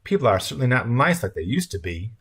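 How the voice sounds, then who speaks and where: Crafty old Southern grandmother, talkative, raised husky voice, audiobook story man performing her. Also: mid-range voice, big pitch swings, fast, a bit bright, pitched above the performer's own voice, heavily acted. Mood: flattering. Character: chatty, nostalgic, manipulative old Southern grandmother.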